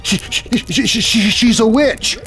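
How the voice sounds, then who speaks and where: Man playing a high-pitched, excitable kid. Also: measured pace, faint music behind, full character voice. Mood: horrified disbelief. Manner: stammering, high-strung. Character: frightened, stammering kid, excitable and indignant.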